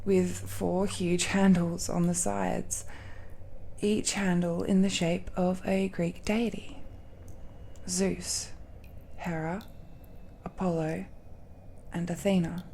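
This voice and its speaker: Casting: female actor as affectionate dragon girl for romance audio drama, mellow, soft, with a creaky edge, shyly halting delivery. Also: fairly low pitch, flat delivery, a little hiss or hum. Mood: adoring, earnest. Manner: hesitant, halting confession with nervous laughs and sighs. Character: affectionate, nervous young dragon-blooded woman confessing love. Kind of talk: intimate romantic audio roleplay.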